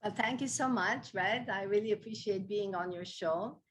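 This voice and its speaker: A woman's voice: medium pitch, moderate pace. A woman who founded a dance theatre, raised abroad and settled in Canada as a teenager, telling her story on a video call in an earnest, heartfelt way. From Armenian heritage, raised in an Islamic country, moved to Canada as a teenager. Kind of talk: interview storytelling.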